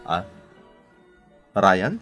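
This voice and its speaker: A man's voice: low-pitched, big pitch swings, very slow, a bit bright, faint music behind, heavily acted. A man with an Ilocano Filipino accent playing a kind, dutiful young man in a radio drama. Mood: concerned, kind.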